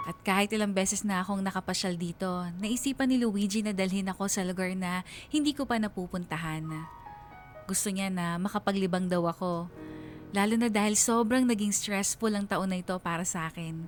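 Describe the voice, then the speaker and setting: A woman's voice: mid-range voice, fairly quick, faint music behind, natural voice. An actress playing a young college woman narrating her love story in a sentimental, conversational Filipino radio drama. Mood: loving, content.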